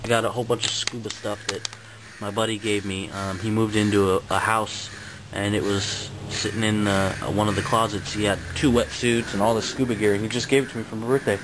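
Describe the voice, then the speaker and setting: A man's voice: fairly low pitch, flat delivery, a little fast. A man chatting casually in a rambling, slangy show-and-tell, recorded on a noisy amateur home video. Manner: slangy, laid-back phrasing. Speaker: vlogger showing his finds.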